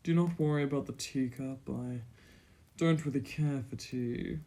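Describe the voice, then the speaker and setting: A man plays a vampire prince in a fantasy ASMR roleplay. His dark, mellow voice sits at a medium pitch and moves at a slow, deliberate pace. He is courtly and formal, with a haughty, condescending self-assurance. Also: close to an everyday voice. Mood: courteous, dismissive. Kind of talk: scripted ASMR roleplay acting.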